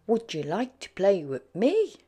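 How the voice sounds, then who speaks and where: A woman's voice: medium pitch, huge pitch swings, unhurried, mellow, breathy edge, faint music behind, full character voice. A woman voicing a friendly little puppy boy from a storybook in an emphatic, childlike manner.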